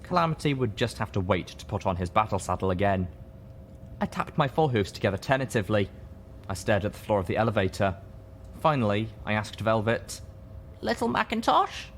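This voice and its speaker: A man's voice: fairly low pitch, animated, fairly quick, slight background noise. A man narrating a story in a dramatic audiobook style. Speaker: story reader.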